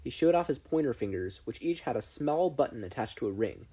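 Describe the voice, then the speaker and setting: A narrator reading a fan fiction story aloud in an expressive scripted style. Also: mid-range voice, brisk, slightly rough. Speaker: podfic narrator.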